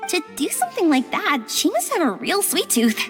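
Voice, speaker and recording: A woman's voice: very high-pitched, huge pitch swings, moderate pace, exaggerated voice. A woman playing a friendly, enthusiastic, perky young woman in a video game. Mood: curious, perky. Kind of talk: scripted video game dialogue. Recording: music, long reverb.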